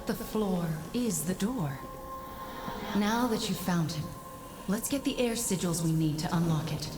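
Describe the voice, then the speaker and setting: A solemn guide in a fantasy game. Her voice sits slightly low, measured and earnest, as she gives formal counsel. It rings with echo over background music. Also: animated. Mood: grave warning. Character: solemn female mystic guide advising the hero. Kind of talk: video game cutscene acting. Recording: roomy echo, music behind.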